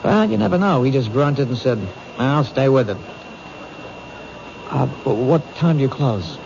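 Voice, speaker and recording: An actor playing an earnest, protective police detective in a 1970s radio drama, plain-spoken and persistent. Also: male voice, medium pitch, animated, unhurried, vocal fry, a little mellow. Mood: friendly. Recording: muffled, hissy old radio recording.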